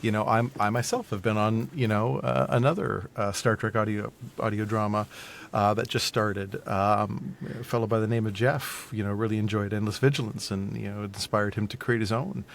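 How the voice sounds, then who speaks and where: A man's voice: on the low side, fairly even intonation, moderate pace, gravelly, a little hiss or hum. A man chatting casually as a podcast guest, often repeating and rephrasing his words as he explains. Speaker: podcast guest, audio drama creator and voice actor. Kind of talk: casual interview conversation.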